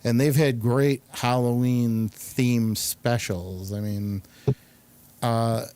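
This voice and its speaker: A man hosting a casual talk show, speaking in a halting but enthusiastic, rambling way. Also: mid-range voice, steady tone, darkish tone, smooth. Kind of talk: casual rambling round-table chat.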